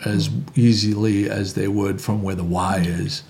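A man's voice: on the low side, level tone, unhurried, a little mellow. A man in casual local talk, opinionated and rambling, often restarting his sentences.

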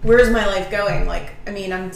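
A woman's voice: medium pitch, normal speed, creaky, roomy sound, music in the background. A woman in her thirties chatting casually and telling candid stories about turning thirty. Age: 30s. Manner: candid, animated storyteller. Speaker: guest. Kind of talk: casual chat.

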